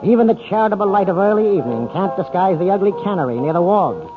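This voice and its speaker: Animated high-voiced male 1940s radio announcer. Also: quick pace, over music. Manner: polished theatrical announcer delivery. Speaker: radio show host and narrator. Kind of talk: 1940s radio scripted narration. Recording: tinny 1940s radio broadcast recording.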